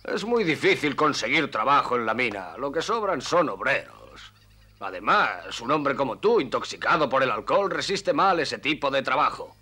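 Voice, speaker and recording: A man's voice: mid-range voice, sing-song, normal speed, sharp tone, breathy edge, exaggerated voice. An actor in a Spanish cartoon dub playing a sly, suspicious man who hands out mine work, needling and mocking.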